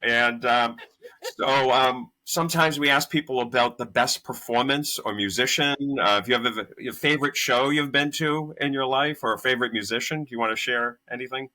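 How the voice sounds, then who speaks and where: A man's voice: medium pitch, normal speed, bright, forceful tone, low-quality recording. A man hosting a podcast interview, asking loose, rambling questions full of fillers. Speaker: podcast host.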